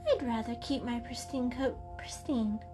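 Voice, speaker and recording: A woman's voice: fairly high pitch, moderate pace, music in the background, voice pushed up, lightly acted. A woman voicing a small, dainty female house cat with a plaintive, anxious and slightly fussy manner, in an animal storybook reading. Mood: prim, soft.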